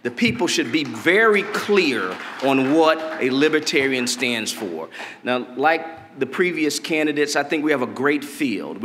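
A middle-aged man from Detroit, a political candidate delivering a campaign speech at a podium in a convention hall, deliberate and measured with emphatic rises. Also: medium pitch, fairly bright tone. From Detroit, Michigan. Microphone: podium mic. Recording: long hall reverb.

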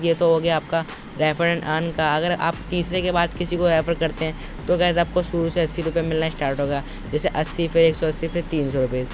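Higher-pitched young man, even delivery. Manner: repetitive, instructional phrasing. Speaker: tutorial presenter. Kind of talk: informal step-by-step screen tutorial voice-over. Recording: noisy, outdoor traffic-like background.

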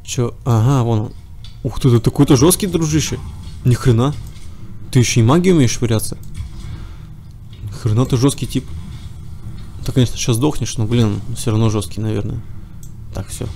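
A man's voice: on the low side, vocal fry, dark tone, slight background noise. A man with a cold giving casual running commentary while playing a game, chatting to himself and to the enemies on screen. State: has a cold. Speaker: let's play gamer.

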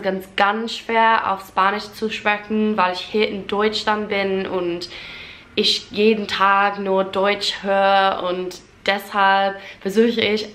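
A young Englishwoman sits on a sofa and talks to a close camera in German, which is not her first language. She speaks slowly, at a middling pitch, with an English accent. She keeps breaking into fillers and self-corrections in a chatty, self-mocking way. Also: a bit bright. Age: young adult. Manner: chatty, self-deprecating, many fillers and restarts. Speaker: vlogger. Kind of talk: casual Q&A chat in German as a learner. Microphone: camera at close range.

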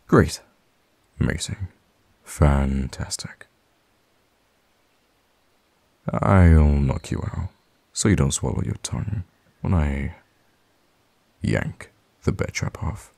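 A man playing a mysterious, outwardly friendly vampire hermit in an ASMR audio roleplay, talking in a halting, softly teasing way with quiet menace. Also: a bass voice, vocal fry, dry recording, full character voice. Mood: wry, teasing. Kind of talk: ASMR audio roleplay, intimate in-character monologue.

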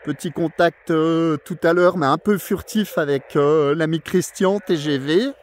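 High-pitched man talking in radio jargon. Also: a little slow, slight background noise. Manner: speaks in radio jargon, courteous phrasing. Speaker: amateur CB radio operator, video maker. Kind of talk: radio contact exchange, casual. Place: outdoors in a forest clearing.

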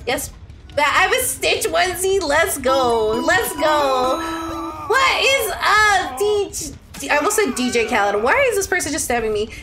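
A woman's voice: sits high, measured pace, over music. A young woman chatting playfully on a game livestream, reading out viewer messages with dramatic, gushing affection. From Ontario, Canada. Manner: animated and playful, with dramatic outbursts and gushing endearments. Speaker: live streamer. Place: indoor room at a streaming setup.

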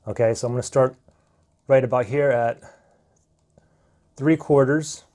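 A man in his thirties talking through a camera microphone test step by step, in a relaxed way with fillers, recorded indoors on a camera-mounted shotgun mic. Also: mid-range voice, measured pace. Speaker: gear reviewer. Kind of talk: casual how-to walkthrough. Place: indoor room, desk demo.

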